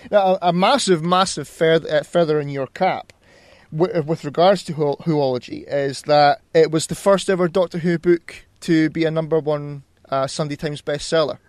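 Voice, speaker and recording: A man's voice: fairly high pitch, fairly even intonation, moderate pace, darkish tone, no echo. A man hosting a podcast interview in a casual, chatty style, with a keen fan's enthusiasm.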